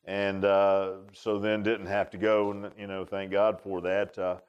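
An American man in his sixties preaching an informal sermon in a halting, folksy, conversational way, often repeating words. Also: on the low side, level tone, smooth, dull audio. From United States. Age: 60s. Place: speaking in a church.

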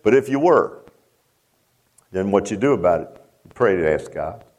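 A man's voice: expressive, normal speed, darkish tone, rough recording. A man preaching a sermon to his congregation in church, repeating himself and calling out to the crowd. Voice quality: deep voice. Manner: repeats and restarts, calls out to the congregation. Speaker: pastor. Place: church sanctuary.

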